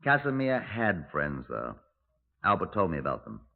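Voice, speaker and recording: Low, dry police superintendent. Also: male voice, wildly swooping pitch, vocal fry, heavily acted. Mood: musing. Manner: dry, deliberate, formal. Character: world-weary middle-aged police superintendent, dry and methodical. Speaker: detective and narrator. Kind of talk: 1950s radio crime drama. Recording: muffled, narrow-band 1950s radio recording.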